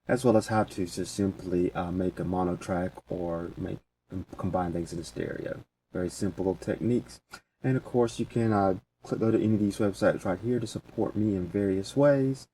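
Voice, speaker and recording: Low-voiced man giving a casual tutorial. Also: dark tone. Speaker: tutorial presenter. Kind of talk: casual software walkthrough. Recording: distant mic with faint background noise.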